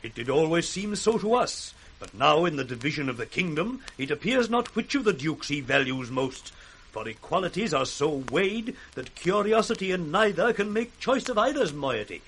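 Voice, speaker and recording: Jokey older british lord, bit high voiced, courtly, faint hiss old radio play. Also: male voice, big pitch swings, normal speed, fairly bright tone, poor audio. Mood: jocular, boastful. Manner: jocular, courtly. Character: worldly, bawdy older nobleman, jovial father of two. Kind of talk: 1960s British radio Shakespeare acting.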